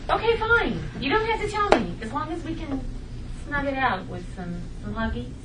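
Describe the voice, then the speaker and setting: A woman plays a smothering, guilt-ridden sitcom mom, clingy and pleading. Her voice is highish and swoops around, caught from a distance in an echoey room. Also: big pitch swings, normal speed, very noisy. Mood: needy, coaxing. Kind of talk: comedy script table read.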